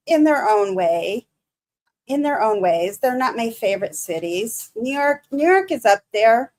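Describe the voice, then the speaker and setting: A woman with a Michigan accent hosting a casual livestream, chatting to viewers in a halting, nervous way full of restarts and fillers. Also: on the high side, darkish tone. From Michigan, USA. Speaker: livestream host.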